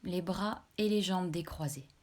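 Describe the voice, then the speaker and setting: Slow, low-pitched woman guiding relaxation. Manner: calm, measured instructions. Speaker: yoga nidra instructor. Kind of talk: guided relaxation meditation.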